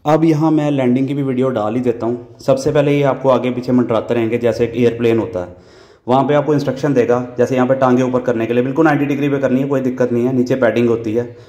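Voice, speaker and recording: A man narrates a vlog in a casual, explanatory way. His voice sits at a medium pitch, and he talks like someone handing out practical instructions and advice. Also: fairly even intonation, fast, warm tone. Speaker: vlogger.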